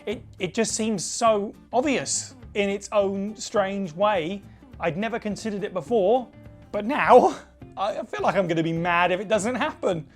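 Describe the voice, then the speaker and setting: A man's voice: high-pitched, measured pace, faint music behind. A man presenting a casual gaming opinion piece, chatty and enthusiastic, with fillers along the way. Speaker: video presenter.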